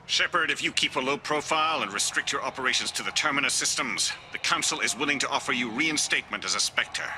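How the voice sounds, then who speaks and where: A man's voice: medium pitch, quick pace, hardly any pauses, noisy background, lightly acted. An actor playing a dismissive, haughty male alien councilor in a video game, with condescending formality. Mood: stern. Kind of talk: video game dialogue acting.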